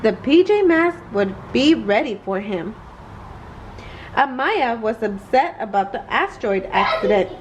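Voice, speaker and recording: A woman's voice: on the high side, lively intonation, unhurried, background noise. A woman reading a children's bedtime story aloud, expressively and carefully. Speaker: storybook reader.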